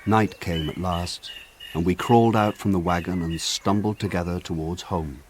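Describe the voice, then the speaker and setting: An actor narrating a radio drama as a grown man remembering his village boyhood, in a lyrical, measured way. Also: deep voice, slight background noise, close to an everyday voice. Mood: wistful.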